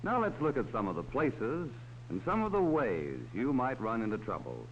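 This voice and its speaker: Male narrator for a 1940s kids' safety film, lively and patient, dull hissy sound. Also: medium pitch, moderate pace. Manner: talks down to children. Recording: dull old film soundtrack with faint hiss.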